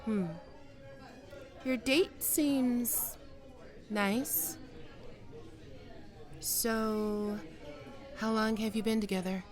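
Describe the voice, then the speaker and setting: A woman playing a flustered, wistful but proud writer facing a former lover in an intimate audio roleplay, halting and sighing as she speaks. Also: a little high, fairly even intonation. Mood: wistful, uneasy. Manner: hesitant, halting, with sighs and trailing off. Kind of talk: intimate ASMR roleplay acting.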